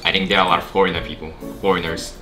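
Young man, Japanese accent, very low voice, upbeat and chatty, music playing behind. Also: flat delivery, rapid-fire. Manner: upbeat, laughs often, many fillers. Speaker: co-host. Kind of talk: casual chat. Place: indoor room on a couch.

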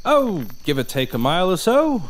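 An actor playing an overly courteous, strangely cheerful servant who speaks formally and swoops up high. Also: male voice, big pitch swings, normal speed. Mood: polite eerie. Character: overly polite odd male servant, eerily cheerful. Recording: music playing under the dialogue.

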